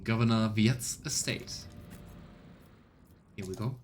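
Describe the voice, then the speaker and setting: A man's voice: on the low side, slow, a little hiss or hum. A man giving casual let's play commentary as he streams a video game. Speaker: streamer playing a video game and voicing its text.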